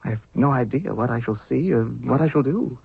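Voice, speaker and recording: A man acting in a 1970s radio drama as a young, newly crowned pharaoh, grave, halting and apprehensive. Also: mid-range voice, gravelly, breathy edge, no echo. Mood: solemn, uneasy. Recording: dry phone-like vintage radio recording.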